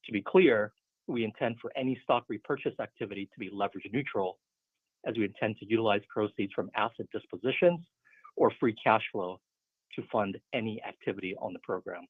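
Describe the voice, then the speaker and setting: Over a narrow, muffled conference phone line, a man with a lowish, husky voice reads a scripted financial report. His delivery is businesslike and steady, that of an executive addressing investors. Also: brisk, dry recording. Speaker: finance executive on an earnings call.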